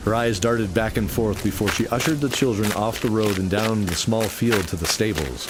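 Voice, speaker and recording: A man's voice: fairly low pitch, background noise. A man narrating a scripted fantasy radio play in an earnest, dramatic storytelling style.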